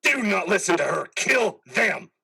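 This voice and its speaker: An actor playing a vengeful, commanding warrior with a harsh rasp in a play reading. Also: mid-range voice, lively intonation, a little slow, sharp tone, heavily acted. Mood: urgent, commanding. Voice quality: harsh, rasping. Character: vengeful, commanding soldier's voice urging killing. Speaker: amateur actor.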